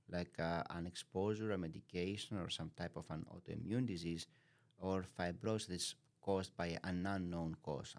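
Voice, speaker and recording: A man from Greece with a very low, deep voice talks through medical causes in a calm, methodical manner. Greek is his first language, and he lays out examples one after another like a doctor explaining to a lay audience. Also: gravelly. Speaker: pulmonary physician guest. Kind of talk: explanatory podcast conversation.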